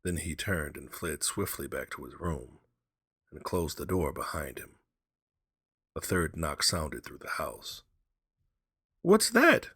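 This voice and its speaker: A man's voice: a bass voice, a little slow, pushed and bright, slightly rough, dry recording, clean studio sound. A man giving a dramatic scripted narration of a horror story.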